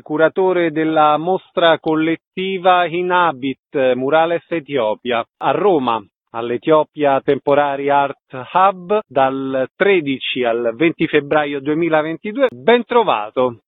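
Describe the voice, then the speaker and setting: Higher-voiced male radio interviewer, thin sound. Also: animated, slow, few pauses. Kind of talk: scripted radio interview questions and announcements. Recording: narrow phone-like radio sound.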